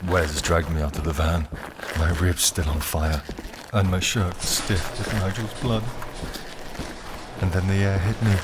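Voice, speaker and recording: Deep, slow, brooding male narrator. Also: little pitch movement. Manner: brooding, deliberate, dramatic pauses. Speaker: narrator and performer. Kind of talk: dark first-person scripted drama narration. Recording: clear ambient noise behind the voice.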